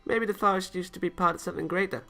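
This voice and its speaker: A determined young angel girl, as acted by a man, speaks in a raised, bright voice. She is brisk, matter-of-fact and teasing, in visual novel style, with faint music underneath. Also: high voice, fairly even intonation, creaky, voice pushed up, heavily acted. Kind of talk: visual novel character read-aloud. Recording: music behind the voice.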